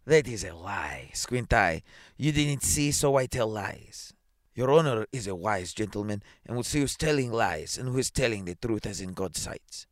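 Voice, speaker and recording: A man's voice: lowish voice, animated, moderate pace, no echo, studio quality, lightly acted. Half-drunk tradesman acted by a man, aggrieved, deferential yet indignant, storybook reading. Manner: pleading, indignant, deferential to authority. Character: aggrieved half-drunk goldsmith, whining and self-righteous. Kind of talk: dramatised short story reading.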